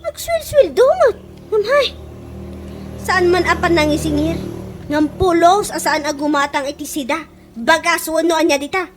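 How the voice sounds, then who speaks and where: A woman's voice: very high voice, animated, moderate pace, background noise. A woman with an Ilocano accent playing an exasperated boarding-house resident who grumbles about a freeloading friend in a comedic radio drama. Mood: exasperated, griping. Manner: grumbling, complaining, exclamatory.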